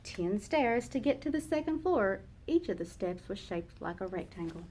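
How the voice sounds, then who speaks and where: A woman teacher reading a children's picture book aloud, slow and expressive for young listeners. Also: medium pitch, a little hiss or hum.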